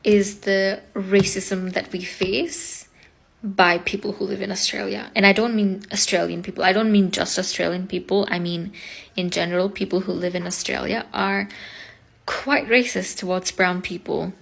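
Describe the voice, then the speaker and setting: A woman's voice: mid-range voice, steady tone, vocal fry, fairly bright tone. A young woman from Nepal with a Nepali accent, her first language Nepali, talking earnestly in a casual vlog and mixing English with Nepali. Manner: rapid, earnest, frequent "like" fillers.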